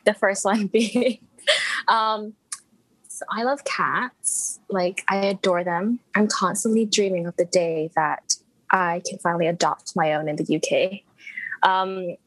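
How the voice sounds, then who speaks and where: A young woman with an international English accent that leans American chats casually as a guest, laughing lightly between her points. Her voice sits at an ordinary pitch and comes across close and dry, with no room sound at all. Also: unhurried, a bit bright, dry recording, low-quality recording. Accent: international English with an American lean. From Malaysia. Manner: chatty, giggles between points. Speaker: podcast guest. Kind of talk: casual chat.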